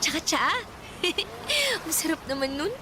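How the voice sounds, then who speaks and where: A woman's voice: very high voice, big pitch swings, takes it slowly, vocal fry, noisy background, exaggerated voice. A woman playing a shy, old-fashioned fifteen-year-old homebody girl in an audio drama, fussy and grumbling. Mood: wistful, defensive. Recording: loud music under the voice. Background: music.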